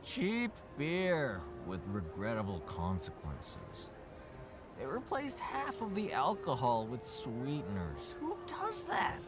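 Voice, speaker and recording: A man voicing a quirky drunk beer drinker in a video game, slurring his drawn-out words. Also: mid-range voice, wildly swooping pitch, music in the background, exaggerated voice. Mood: tipsy. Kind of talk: video game character dialogue.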